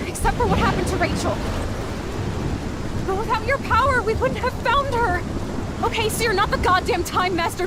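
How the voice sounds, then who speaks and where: A very high-voiced young American woman, a tough, loving punk in a video game drama. She talks bluntly and with deep feeling. Rain and thunder roar loudly behind her. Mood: fierce, insistent. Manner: blunt, swearing, earnest. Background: rain, thunder, wind.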